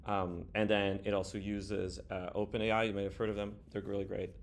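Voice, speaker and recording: A man in his thirties giving a casual, halting software demo as a developer, speaking informally. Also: on the low side, little pitch movement. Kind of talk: casual screen-recorded demo. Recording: background room noise.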